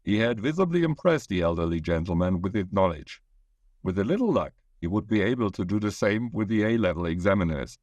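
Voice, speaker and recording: A man's voice: lowish voice, expressive, a little fast, darkish tone, dry recording. A man reading his own short story aloud as a scripted narration.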